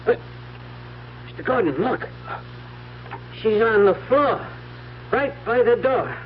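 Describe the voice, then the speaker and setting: An actor playing a well-meaning, simple, obliging caretaker in an old-time radio mystery, hesitant and earnest. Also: male voice, extremely high pitch, animated, slow pace, exaggerated voice. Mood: uneasy. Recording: muffled, noisy old radio recording with hum.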